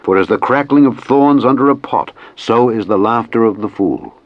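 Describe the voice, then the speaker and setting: A man narrating scripture in a solemn, measured and declamatory reading style. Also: mid-range voice, animated, highs cut off.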